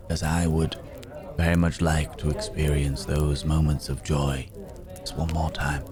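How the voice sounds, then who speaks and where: A man's voice: very deep voice, darkish tone. A man playing a courteous, world-weary werewolf traveller in an ASMR roleplay, speaking to the listener in genteel, formal phrases with musing hums and hesitations. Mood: wistful, reflective.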